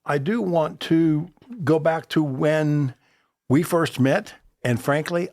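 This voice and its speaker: A man's voice: a little high, slow pace, darkish tone, no room sound. Older American man hosting, close dry sound. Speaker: podcast host. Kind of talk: casual interviewing.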